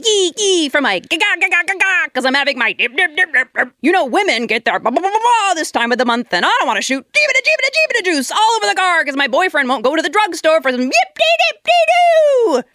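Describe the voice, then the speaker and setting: A woman's voice: very high-pitched, very animated, fast, few pauses, pushed and bright, dry recording, studio quality, heavily acted. A woman playing an exasperated, sarcastic and blunt girlfriend in a comedy radio sketch. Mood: mocking.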